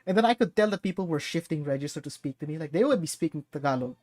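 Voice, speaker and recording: A woman's voice: sits low, expressive, very fast, a little mellow. A young woman from the Philippines chatting casually while playing a game, rambling with fillers and casual swearing. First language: English. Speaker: gameplay streamer. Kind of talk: casual gaming chat.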